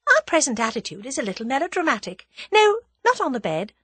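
A woman's voice: high-pitched, big pitch swings, fast, a bit bright, no room sound, pitched above the performer's own voice. A woman voicing a spirited, cunning, plucky young woman with a theatrical delivery in an audiobook story. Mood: brisk, pleasant. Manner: theatrical, dramatic.

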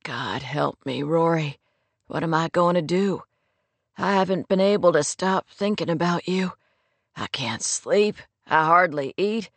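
Woman voicing a low, hushed, lovesick man. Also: a little slow, no echo. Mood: anguished. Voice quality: raw, low murmur. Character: lovesick man, anguished, pleading, tender. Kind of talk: romance audiobook character dialogue.

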